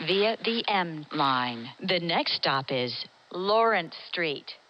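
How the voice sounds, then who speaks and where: A woman reading scripted train announcements as a transit announcer, in measured, separate phrases. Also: on the low side, expressive, lo-fi sound.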